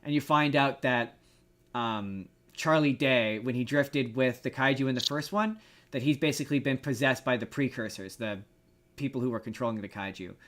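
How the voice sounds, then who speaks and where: A man's voice: medium pitch, fairly bright tone. Guy podcast host just chatting about movies, slangy, rambly, repeats himself, regular mid voice. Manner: informal, slangy, halting and rambling explanation with repeated words. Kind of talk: casual movie review chat.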